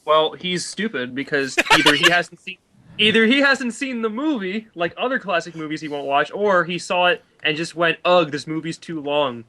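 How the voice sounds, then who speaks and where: A man with a bright, forward voice pitched a little high, talking casually on a voice call. He debates films in an animated, exasperated manner. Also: normal speed, slight background noise. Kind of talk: casual chat, film debate on a group voice call.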